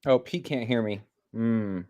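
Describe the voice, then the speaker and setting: A man's voice: mid-range voice, unhurried, dark tone, lo-fi sound. A man co-commentating on basketball in an informal, conversational way with short reactions.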